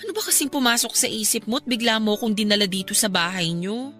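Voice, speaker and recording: A nervous young woman in a Filipino radio drama. Her voice is fairly high, timid and courteous, and music runs behind it. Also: fast, sharp tone. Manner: timid, polite. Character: nervous, polite young woman, son's companion.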